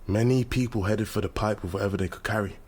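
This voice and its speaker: A proud, bitter young rebel son in a radio play. His voice sits low, and he speaks in declamatory, accusing phrases with stubborn defiance. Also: steady tone, quick pace, darkish tone, husky. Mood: proud, insistent. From London, England. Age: young adult. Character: defiant, bitter grown son turned Nigerian oil-rights militant. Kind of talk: radio drama acting.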